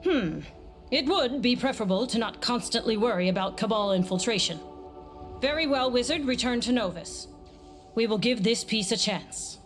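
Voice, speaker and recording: A woman playing a guarded scholar-leader in a game, speaking formally and with deliberate authority. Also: a little high, expressive, soft background music, natural voice. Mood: guarded, resigned. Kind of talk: scripted game cutscene acting.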